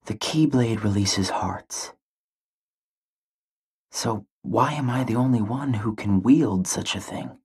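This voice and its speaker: A man playing a withdrawn, naive young teenage boy in a video game, with a soft, breathy voice and a subdued, wondering delivery. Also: fairly low pitch, slow pace, long pauses, full character voice. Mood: pensive, subdued. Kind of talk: introspective video game character monologue.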